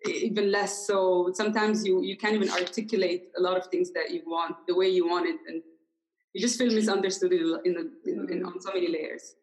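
A woman's voice: on the low side, steady tone, a little fast, darkish tone, low-quality recording. A young woman talking as a guest in a casual conversation, reflective and hesitant with frequent laughter.

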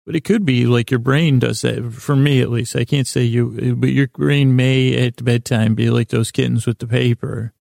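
Man for sleep podcast: flat, drowsy-dark delivery, rambling and stammering, trailing off mid-thought. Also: medium pitch, creaky, no echo, clean studio sound. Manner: halting, stammering, repeats and trails off. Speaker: host of a bedtime sleep podcast. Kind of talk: rambling, meandering bedtime monologue.

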